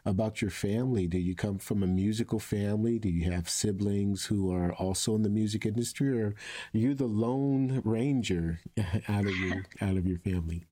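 A man's voice: lowish voice, normal speed, warm tone. A man hosting a conversational podcast interview in an effusive, encouraging manner.

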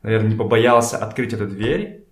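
A young man chatting casually as an interview guest, informal and halting as he searches for words. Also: on the low side. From Kazakhstan. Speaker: podcast guest, photographer and art director. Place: indoors in a home. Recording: echoey room.

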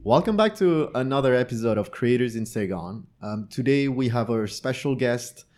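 A man's voice: mid-range voice, animated, moderate pace, darkish tone, soft background music. A man in his thirties, from France, co-hosting a podcast interview in a casual, friendly, self-deprecating way. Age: 30s. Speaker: podcast co-host.

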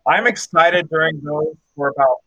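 A man's voice: a little high, normal speed, lo-fi sound, a crowd in the background. A young man co-hosting a podcast, talking casually in a group review chat. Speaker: podcast co-host.

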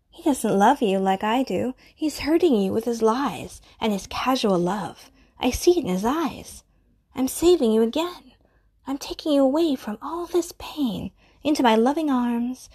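Woman doing a creepy obsessive stalker, husky hushed whispers, menacing and intimate. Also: fairly high pitch. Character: obsessive, possessive murderous stalker lover. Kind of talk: audio roleplay monologue.

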